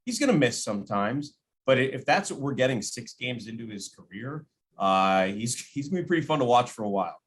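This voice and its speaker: A man's voice: medium pitch, lively intonation, a little fast, slight background noise. A man who works as a play-by-play announcer, giving casual sports analysis as a podcast guest.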